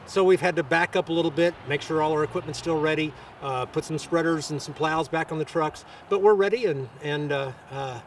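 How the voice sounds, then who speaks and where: A man answering press interview questions as a local official, with halting, hesitant phrasing. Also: a little high, recorded from a distance, a little hiss or hum.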